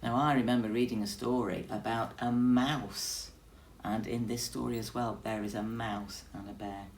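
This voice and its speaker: A woman's voice: extremely low pitch, steady tone, normal speed, darkish tone, a little hiss or hum. A woman teacher reading a story aloud expressively, speaking directly to young children.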